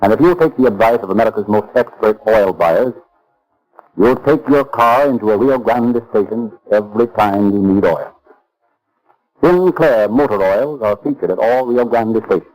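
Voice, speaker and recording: A man's voice: on the low side, normal speed, darkish tone, poor audio. A man announcing a sponsor's advertisement in polished, emphatic 1930s radio style, on a vintage recording.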